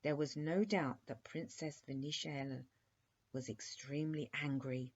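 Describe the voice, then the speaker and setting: A woman's voice: low-pitched, fairly even intonation, measured pace, husky. Woman reading a bedtime story, muffled recording. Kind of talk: expressive children's bedtime story narration. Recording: dull lo-fi recording.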